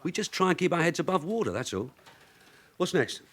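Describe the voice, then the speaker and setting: An actor playing a shrewd veteran detective sergeant, wry, businesslike and commanding, in a radio drama. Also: male voice, fairly high pitch, big pitch swings, fairly quick, breathy edge. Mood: wry, weary. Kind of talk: British radio drama acting.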